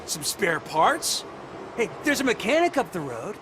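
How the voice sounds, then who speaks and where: An actor playing a cocky, mocking young street basketball player in a video game. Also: male voice, high voice, very animated, measured pace, background noise.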